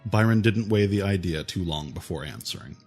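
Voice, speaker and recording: A male narrator reads fantasy fiction in a very deep register. The delivery is scripted audiobook storytelling, with faint music running behind the voice. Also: expressive, brisk, darkish tone, slightly rough.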